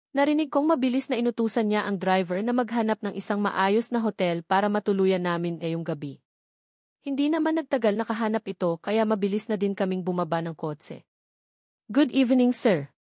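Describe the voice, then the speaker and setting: A woman with a Filipino accent narrates a novel in the first person. Her voice sits at a middle pitch. The sound is dry, with very little room around it. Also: a little mellow, dry recording. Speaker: audiobook narrator.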